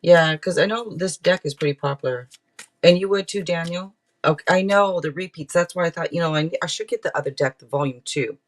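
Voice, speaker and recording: A man's voice: on the high side, fairly quick. Chatty, playful man reading tarot live. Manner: chatty, rambling, playful asides to viewers. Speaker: tarot reader and livestream host. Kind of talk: casual live tarot reading with chat asides.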